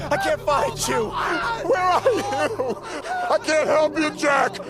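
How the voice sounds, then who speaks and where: An actor voicing a frantic man shouting for his lost friend in a nightmare. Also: very high-pitched, very animated, slow pace, exaggerated voice. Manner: shouting desperately. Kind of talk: radio drama acting. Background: loud music and crowd noise.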